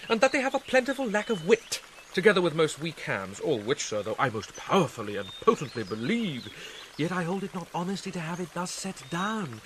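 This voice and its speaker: An actor playing a young prince feigning madness, sardonic, playful and bitter in a theatrical Shakespearean radio drama style. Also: male voice, on the high side, big pitch swings, fairly quick, a bit bright, slight background noise. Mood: mocking, mad.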